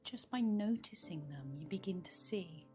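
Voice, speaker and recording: A woman guiding a meditation in a soft, soothing manner, with phrases often trailing into breathiness. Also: lowish voice, animated, moderate pace. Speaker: meditation guide. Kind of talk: guided meditation. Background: background meditation music.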